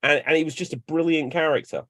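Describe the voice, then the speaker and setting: A young man chatting on a panel, telling lively stories and acting out bits as he goes. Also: a little high, quick pace, no room sound, clean studio sound. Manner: animated storyteller, acts out bits. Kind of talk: casual chat.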